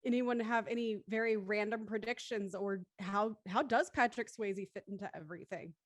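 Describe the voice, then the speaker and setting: A woman's voice: medium pitch, fairly even intonation, moderate pace, no room sound. A woman hosting and moderating a casual group discussion. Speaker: host and moderator of a cast discussion.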